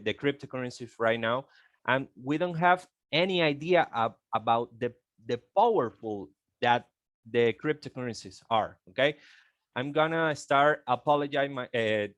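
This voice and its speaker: A man in his thirties from Venezuela with a Venezuelan Spanish accent, a native Spanish speaker presenting on an online call, halting and tagging "okay?" often. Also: mid-range voice, a bit bright, clean tone, dry recording. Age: 30s. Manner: halting, repeats words, frequent "okay?" tags.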